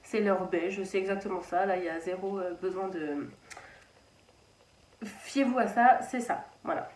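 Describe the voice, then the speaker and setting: A woman's voice: medium pitch, unhurried, a little mellow, reverberant, distant mic. A woman from Anjou in France, hosting a casual knitting podcast from a children's playroom at home, chatty and rambling with many fillers and restarts. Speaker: knitting channel host.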